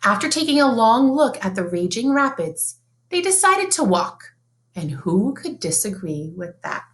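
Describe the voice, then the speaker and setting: A woman reading a children's picture book aloud in an expressive, sing-song storybook manner, like a teacher. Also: a little high, a little slow.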